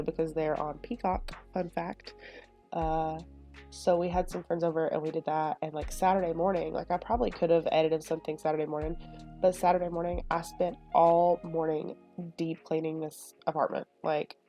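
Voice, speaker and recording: Sniffly young woman chatting over soft music. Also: lowish voice. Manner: rambling and chatty, lots of fillers. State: sniffly, stuffed nose. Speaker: Sims build video creator. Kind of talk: casual chat over a speed build.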